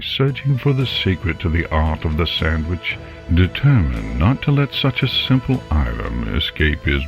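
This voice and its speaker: A man playing a grave, grandiose storyteller, narrating a legend with solemn, theatrical, measured phrasing. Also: sits low, full character voice. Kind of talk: dramatic scripted narration. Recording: dull sound under loud music. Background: music.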